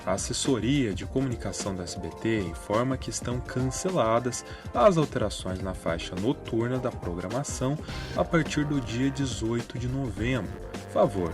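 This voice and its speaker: A man's voice: mid-range voice, lively intonation, moderate pace. A Brazilian man narrating news commentary in an informal, explanatory way. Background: background music.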